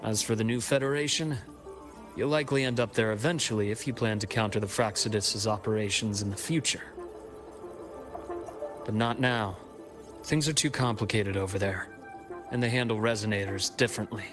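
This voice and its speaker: A male actor plays a composed, shrewd investigator in a story-driven video game. His voice sits on the low side and his delivery is measured and articulate. Music plays clearly underneath. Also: fairly even intonation, lightly acted. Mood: measured, analytical. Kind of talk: scripted video game dialogue.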